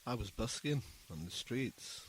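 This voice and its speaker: A man's voice: medium pitch, expressive, measured pace, slightly rough, low-quality recording. A middle-aged man talking casually as an interview guest, earnest and repeating words as he gets going. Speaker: musician guest, saxophone busker.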